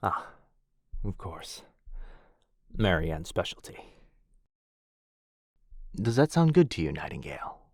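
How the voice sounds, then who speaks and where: A man voicing a gentle, courtly male vampire lord in an ASMR boyfriend roleplay. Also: deep voice, big pitch swings, takes it slowly, creaky, long pauses, no room sound, studio quality, full character voice. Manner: soft-spoken, courtly and reassuring. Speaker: voice actor.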